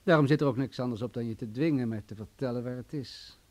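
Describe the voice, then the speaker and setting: An actor playing a menacing, wealthy crime boss in a radio play, coolly sadistic, condescending and mocking. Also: male voice, medium pitch, fast, a little mellow, close to an everyday voice. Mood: threatening. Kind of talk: vintage Dutch radio play acting.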